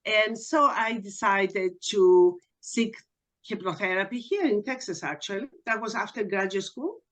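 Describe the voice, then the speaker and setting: A woman's voice: medium pitch, measured pace, a bit bright. A woman in her sixties from Greece, a guest hypnotherapist chatting and telling her story in a podcast interview. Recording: muffled lo-fi remote audio.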